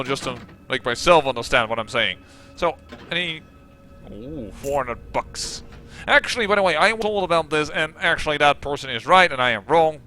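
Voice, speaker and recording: A man with a Dutch accent rambles through his game commentary in a chatty, self-mocking way. His voice is bright and fairly high for a man. Faint background noise hums beneath him. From Netherlands. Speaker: gaming channel host. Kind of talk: let's play commentary.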